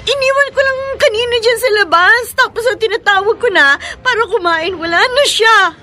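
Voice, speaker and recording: A distraught mother in a radio drama. Her voice is extremely high and piercing. She pleads tearfully, as if every sentence might break into sobs. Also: brisk, sharp tone. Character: anguished young mother of a missing child. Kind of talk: horror radio drama acting.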